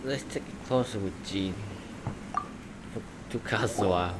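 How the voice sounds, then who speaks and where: A man's voice: fairly low pitch, a little slow. A man giving casual commentary as he plays a game. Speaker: game player. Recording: loud noise over the voice.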